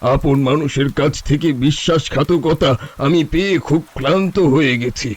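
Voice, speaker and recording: A man's voice: a little high, normal speed, husky. A man voicing a weary old holy man. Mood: sorrowful. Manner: pleading, tired and sorrowful. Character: older male holy man, weak, betrayed, weary. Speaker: voice actor. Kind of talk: cartoon voice acting.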